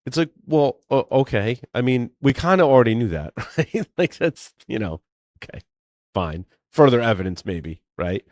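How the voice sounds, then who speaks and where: A man hosting a casual tech podcast, talking in an animated way with many restarts and asides. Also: mid-range voice, normal speed, no room sound, studio quality. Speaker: podcast host.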